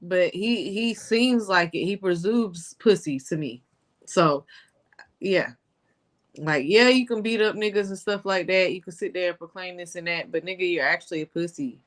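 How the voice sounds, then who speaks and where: A woman with a notably deep voice co-hosts a reaction show. She gives her opinions bluntly in loose, slangy casual chat. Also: normal speed.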